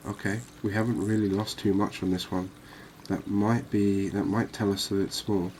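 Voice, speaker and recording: A man giving quiet, cozy ASMR commentary on a game, unhurried and thinking aloud. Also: on the low side, little pitch movement, a little hiss or hum. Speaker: gaming video host.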